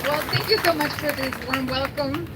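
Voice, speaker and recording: A woman from Mexico with a Mexican Spanish accent, giving an earnest, deliberate speech at an outdoor climate rally. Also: high voice, a loud crowd, very noisy. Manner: earnest, slow and deliberate, grateful. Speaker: rally speaker.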